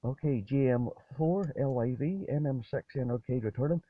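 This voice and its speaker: A man's voice: mid-range voice, normal speed. A man chatting casually as an amateur radio operator over a two-way radio. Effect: two-way radio transmission. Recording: tinny narrowband ham radio link.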